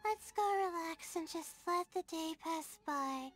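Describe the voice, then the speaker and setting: A woman's voice: extremely high pitch, measured pace. A woman voicing an anxious, sleep-deprived young student in a video game, sweet and timid, with a hesitant, stammering delivery. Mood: relieved, resolved. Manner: hesitant, stammering, self-doubting. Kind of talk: video game character dialogue. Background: soft game music.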